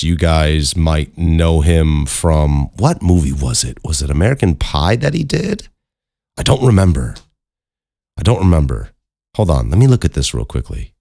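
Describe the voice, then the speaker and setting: Low-voiced man chatting on a podcast. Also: expressive, moderate pace, gravelly, a little mellow, no echo, clean studio sound. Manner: informal, rambling, swears casually. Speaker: podcast host. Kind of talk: casual solo podcast chat.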